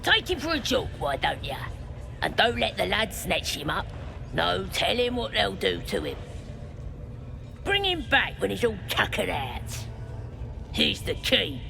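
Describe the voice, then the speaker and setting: A creaky, wildly swooping goblin bully. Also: male voice, high-pitched, big pitch swings, a little slow, pauses often, heavily acted. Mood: possessive, casual. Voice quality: creaky, gravelly. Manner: rough, slangy, blunt. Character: crude, bossy, dim goblin jailer. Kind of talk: video game character acting. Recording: music behind the voice. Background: music.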